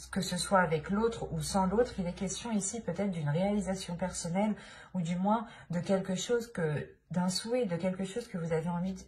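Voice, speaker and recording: A woman's voice: on the low side, darkish tone. A woman with a French accent giving a tarot reading, speaking hesitantly, with many fillers and restarts as she searches for her words. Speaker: tarot reader. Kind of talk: tarot card reading to camera.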